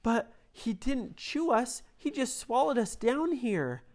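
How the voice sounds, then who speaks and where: A woman voicing small frightened children trapped inside a giant spider, speaking high, breathless and eager. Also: expressive, slow pace, darkish tone. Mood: scared.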